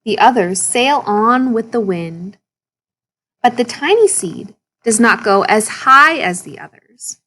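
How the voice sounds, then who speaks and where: Slow-reading woman telling a children's story. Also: a little high, poor audio. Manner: simple, expressive storytelling for young children. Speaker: story reader. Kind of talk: slow read-aloud of a children's picture book.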